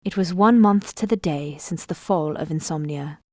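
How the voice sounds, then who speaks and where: A woman as a low-pitched, composed storyteller. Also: normal speed, a little mellow, a little hiss or hum, close to an everyday voice. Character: composed female storyteller of a fantasy tale. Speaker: narrator. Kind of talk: scripted storybook narration.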